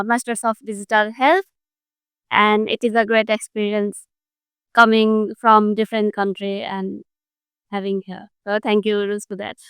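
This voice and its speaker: Nepali woman student, very crisp, dry, slightly high voice. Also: a bit bright, no room sound. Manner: polite, brief introduction. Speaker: master's student.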